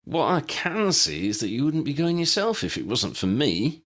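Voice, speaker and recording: A man's voice: mid-range voice, sing-song, moderate pace, a bit bright, pitched above the performer's own voice. A man voicing a cocky, stubborn young male reporter in an audiobook reading, with a bold, insistent delivery.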